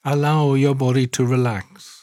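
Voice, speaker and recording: A man guiding a scripted relaxation meditation in a calm, soothing, measured way, leaving long pauses. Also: medium pitch, no room sound, clean studio sound. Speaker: meditation guide, podcast host. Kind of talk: guided yoga nidra relaxation meditation, scripted narration.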